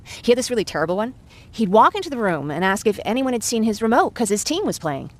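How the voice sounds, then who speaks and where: A woman's voice: a little high, sing-song, quick pace. An actress playing an earnest, talkative young woman who survived a war, in a video game. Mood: wistful, chatty. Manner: candid, chatty. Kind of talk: naturalistic video game acting.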